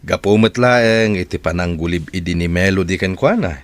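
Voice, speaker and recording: A man's voice: sits low, lively intonation, moderate pace. An actor with a Filipino Ilocano accent playing a man who earnestly advises and stands up for a friend in an audio drama. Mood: firm, insistent.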